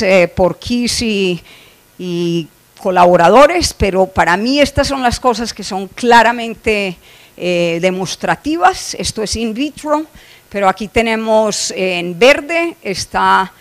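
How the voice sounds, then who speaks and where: A woman's voice: mid-range voice, animated, a little slow. A middle-aged woman from Colombia with a Colombian accent, giving a conference lecture on poultry nutrition, explaining slides with frequent "eh" fillers and restarts. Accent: Colombian Spanish. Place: conference hall.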